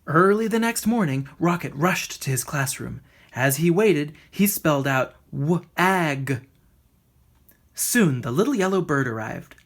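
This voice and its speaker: A woman reading a children's storybook aloud in an expressive narrating style. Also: deep voice, normal speed. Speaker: storybook reader.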